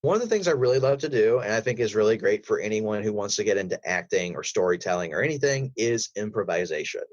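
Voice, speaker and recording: Man, low voice, lively swooping intonation, casual interview chat, emphatic rambling advice, slightly dull recording. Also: quick pace, hardly any pauses. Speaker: voice actor, podcast guest. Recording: roomy, slightly dull audio.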